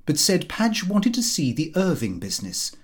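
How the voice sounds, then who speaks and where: A man narrating a comic diary in the character of a prim, put-upon, pompous middle-class gentleman. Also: fairly high pitch, wildly swooping pitch, moderate pace. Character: fussy, easily affronted middle-class Victorian gentleman diarist. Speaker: audiobook reader.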